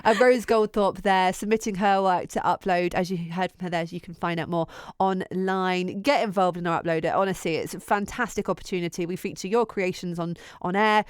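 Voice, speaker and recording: A woman's voice: lowish voice, steady tone, a little fast, hardly any pauses, clear, resonant tone, dry recording, studio quality. A woman presenting casually on radio, gushing and encouraging in her delivery.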